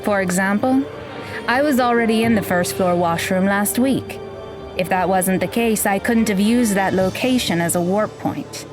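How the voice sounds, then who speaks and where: A cool, deadpan magical mentor girl, acted by a woman, curt and unimpressed, with a mid-pitched voice. She gives instructions with little warmth, and music plays under her lines. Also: normal speed, close to an everyday voice. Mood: instructive. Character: aloof, blunt young magical mentor girl. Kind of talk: audio drama acting. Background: background music.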